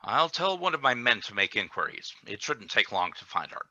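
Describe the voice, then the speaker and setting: Radio play villain: scheming security chief, acted by a man, very hard bright tone, lively swings, insinuating menace. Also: on the low side, normal speed, no echo, lo-fi sound, exaggerated voice. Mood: curt.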